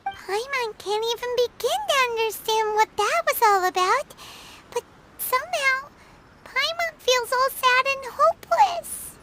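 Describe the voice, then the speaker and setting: A woman voicing a tiny, childlike, inquisitive fairy companion in a video game, squeaky and exclamatory. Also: a little slow, noisy background. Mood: confused, sad. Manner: exclamatory, speaks of self in third person.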